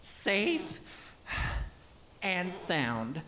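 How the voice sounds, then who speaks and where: A woman's voice: lowish voice, huge pitch swings, very slow, a crowd in the background, heavily acted. A woman playing a plucky, slightly cocky young servant lad in a radio play, easygoing and innocent-sounding. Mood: breezy confidence.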